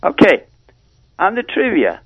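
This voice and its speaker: A man phoning in to a radio show, giving casual, rambling scripture commentary in a halting, hesitant way. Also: sits high, wildly swooping pitch, breathy edge, dry recording. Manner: hesitant, halting delivery with repeated pauses. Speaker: phone-in caller. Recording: dull phone line on radio.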